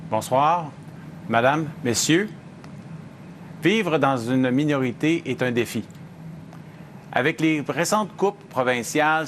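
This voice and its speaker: A man from Ontario speaks as a citizen in a measured, deliberate way, clearly reading prepared text. A faint noise sits under the voice. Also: medium pitch, fairly bright tone. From Ontario, Canada. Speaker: citizen asking a question. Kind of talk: prepared statement and question.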